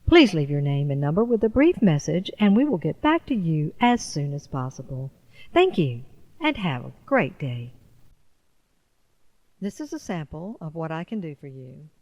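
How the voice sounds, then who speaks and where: A woman doing scripted voice-over narration as a demo artist, with a measured, announcing delivery. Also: low voice, big pitch swings, darkish tone, lo-fi sound.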